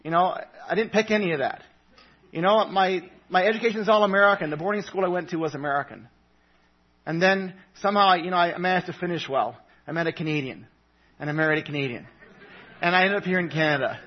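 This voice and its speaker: A man from Papua New Guinea gives an earnest, chatty sermon as a visiting missionary, stumbling through fillers and restarts. His voice sits a little high and sounds husky. The church recording is muffled and lo-fi. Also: fast.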